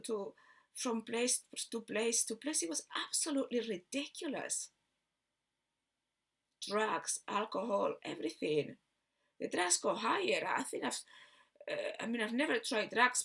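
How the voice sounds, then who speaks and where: A woman from Barcelona with a Spanish accent tells a rambling personal story in a halting way, repeating words and trailing off. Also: on the high side, gravelly, fairly bright tone, slightly rough. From Barcelona, Spain. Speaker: video host telling personal story.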